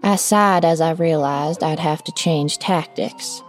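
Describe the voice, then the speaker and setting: A woman narrating a scripted first-person story in a measured, wry storytelling manner. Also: low voice, faint music behind.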